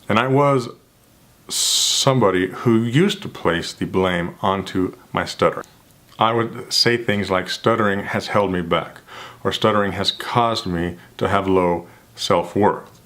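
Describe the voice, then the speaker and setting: A man with a somewhat low voice talks unhurriedly, stretching out his phrases. He stutters, repeating first sounds and restarting words, and speaks in an earnest, reflective way to the camera. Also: a bit bright. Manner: measured, earnest, self-reflective. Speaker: vlogger.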